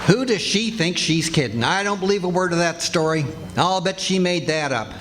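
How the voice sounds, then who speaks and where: A man's voice: sits high, expressive, moderate pace, a loud crowd. A man playing a blustery, boastful, folksy husband who tells tall tales in an old-time radio comedy. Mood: grumbling. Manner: folksy, grumbling, exclamatory. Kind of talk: 1940s radio comedy acting before a live audience. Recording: noisy live stage recording with clicks.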